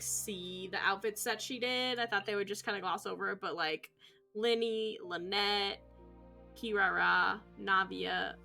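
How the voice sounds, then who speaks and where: A woman's voice: medium pitch, vocal fry, pushed and bright. A woman streaming a video game, giving casual, rambling commentary in an informal, musing way. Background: music.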